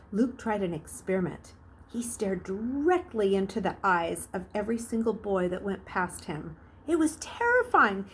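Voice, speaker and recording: A woman reading a children's novel aloud as a storyteller, narrating expressively, with emphatic swells and hushed phrases. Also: medium pitch.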